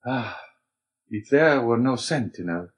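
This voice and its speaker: A man voicing a gentle, anguished, principled elderly scholarly priest in an audiobook, speaking in earnest, formal exclamations. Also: medium pitch, lively intonation, takes it slowly. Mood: brooding.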